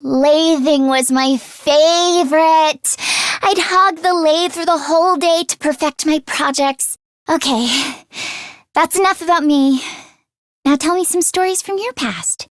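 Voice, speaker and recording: A woman voicing an earnest, anxious teenage girl mechanic in an anime-style video game, expressive and shifting between timid and sassy. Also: high-pitched, measured pace, dry recording, clean studio sound. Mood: soft, affectionate. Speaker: voice actress.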